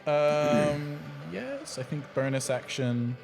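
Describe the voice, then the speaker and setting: A man's voice: a little high, sing-song, slow. A man playing a tabletop game, talking casually as a player. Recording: loud music with guitar behind the voice.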